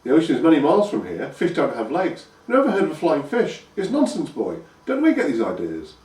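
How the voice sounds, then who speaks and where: A man's voice: on the high side, huge pitch swings, fairly quick, darkish tone, far from the mic, voice pushed up. A man voicing a stout, fond peasant mother who stammers when flustered. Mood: dismissive. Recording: echoey room, voice from afar.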